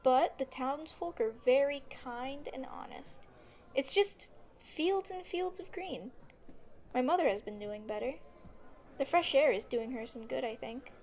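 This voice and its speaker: A woman playing a sweet, chatty young noblewoman in an audio drama, expressive and affectionate. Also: high voice, a little slow, a little hiss or hum.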